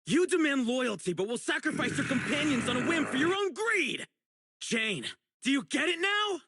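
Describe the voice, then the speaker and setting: A man voicing an earnest, righteous young public security officer in a video game, dutiful and excitable, with dramatic delivery. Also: very high voice, moderate pace, noisy background. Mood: outraged, shouting. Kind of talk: isolated game character voice lines, dramatic acting.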